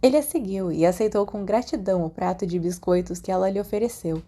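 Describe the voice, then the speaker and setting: Mellow Brazilian woman narrating a story. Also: mid-range voice, fast talker. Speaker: audiobook narrator. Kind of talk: scripted fan fiction narration with acted dialogue.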